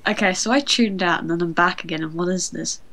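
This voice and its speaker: A woman's voice: lowish voice, fast, sharp tone, slight background noise. A woman talking casually in a group chat.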